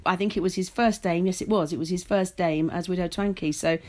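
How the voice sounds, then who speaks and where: A woman's voice: fairly low pitch, steady tone, fairly quick, a little mellow. A middle-aged British woman chatting as an interview guest, telling stories with stammered restarts. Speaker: guest, pantomime writer and director. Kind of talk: casual interview chat.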